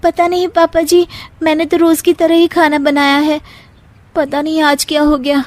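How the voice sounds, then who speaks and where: A woman acts a clever young daughter-in-law from a family cartoon. Her voice is very high and recorded dry. She speaks with sweet, respectful politeness. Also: monotone, normal speed, no room sound, natural voice. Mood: puzzled. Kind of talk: Hindi animated comedy story acting.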